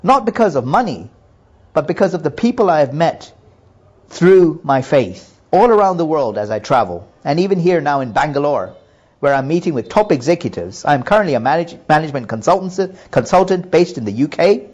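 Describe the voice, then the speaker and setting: A middle-aged man calmly telling his personal faith testimony in an earnest, measured way. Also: fairly high pitch, lo-fi sound. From Hong Kong and Japan. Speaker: businessman giving testimony.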